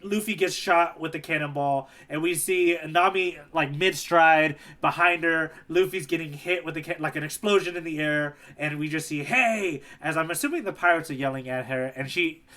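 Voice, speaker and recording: A man's voice: on the high side, normal speed, hardly any pauses, bright, forceful tone. A man co-hosting a casual manga readalong podcast, talking in an informal, enthusiastic way. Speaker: podcast co-host.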